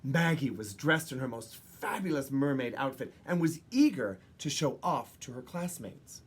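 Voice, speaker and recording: A woman narrates a storybook for young children. Her pitch swings widely and her tone has a husky, breathy edge. She leans on key words and leaves dramatic pauses. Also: big pitch swings. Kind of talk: expressive picture-book read-aloud.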